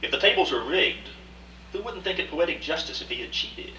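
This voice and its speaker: A proud, self-justifying older gentleman collector acted by a man in a radio play. The voice is very bright and forceful, with formal, sweeping theatrical phrasing. It is recorded from a distance in a roomy space with faint hum. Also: a little high, expressive, brisk. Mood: defensive, self-justifying. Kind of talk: live radio play acting.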